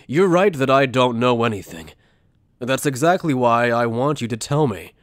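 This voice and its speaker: A man's voice: medium pitch, lively intonation, normal speed, dry recording, close to an everyday voice. A man acting as a principled anime soldier-journalist speaks in a mid-range voice. He is earnest and insistent, pressing his points sincerely. The sound is dry and close. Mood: earnest, challenging. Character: earnest male Soul Reaper lieutenant and principled journalist. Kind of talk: anime fan dub acting.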